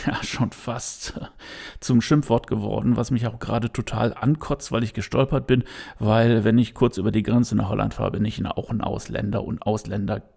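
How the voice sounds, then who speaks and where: A man's voice: on the low side, steady tone, moderate pace, vocal fry, mellow, husky. A man hosting a podcast, giving his opinion unscripted and speaking straight to his listeners. He hesitates a lot, with many fillers and restarts, but stays earnest. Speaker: podcast host.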